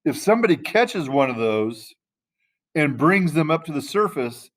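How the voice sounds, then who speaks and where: Chatty teacher, slightly high voice. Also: male voice, sing-song, moderate pace. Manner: loose and conversational, searching for words mid-sentence. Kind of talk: casual classroom lesson.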